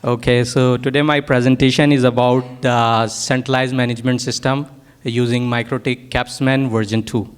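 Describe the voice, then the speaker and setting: A man's voice: medium pitch, little pitch movement, moderate pace, smooth. A man from Pakistan, a native Urdu speaker with a Pakistani accent, gives a technical workshop presentation as a product manager, often hesitating and searching for words.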